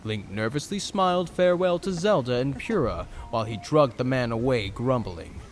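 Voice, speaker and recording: A man's voice: mid-range voice, animated, moderate pace, slight background noise. A man giving dramatic scripted narration for a fan audio drama story.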